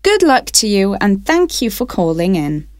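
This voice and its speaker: A woman from Wales hosting a radio phone-in, speaking in a measured, polite and clearly enunciated way. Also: medium pitch, very animated, darkish tone, no echo, studio quality. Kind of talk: scripted radio phone-in presenting.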